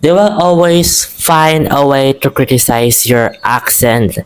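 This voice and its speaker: Smooth-voiced Filipino man, encouraging chat. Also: mid-range voice, slow pace, low-quality recording. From Philippines. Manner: rambling, encouraging, restarts often. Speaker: vlogger. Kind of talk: casual talk to camera about learning English.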